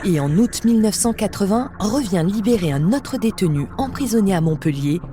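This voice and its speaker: A woman's voice: mid-range voice, lively intonation, fairly quick. A woman reading a scripted true-crime story as a podcast narrator. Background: music.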